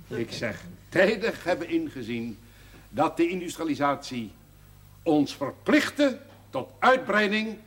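A proud older company director in a period TV drama. He speaks solemnly and very slowly, his pitch swelling and sinking widely as he delivers a formal jubilee address. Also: big pitch swings, a bit bright, a little hiss or hum, heavily acted. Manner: solemn, oratorical, deliberate, with emphatic swells. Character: proud older male brewery director giving a formal speech.

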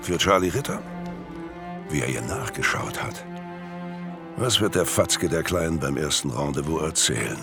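An actor playing a world-weary, dryly ironic narrator in a Berlin crime radio drama. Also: male voice, a bass voice, expressive, moderate pace, vocal fry, long pauses, over music, full character voice. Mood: dry, mocking. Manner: dry, ironic.

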